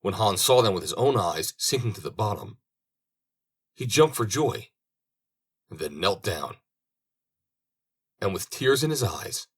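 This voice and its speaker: Husky man reading a fairy tale expressively. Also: deep voice, a bit bright. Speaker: audiobook reader. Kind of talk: expressive storybook narration.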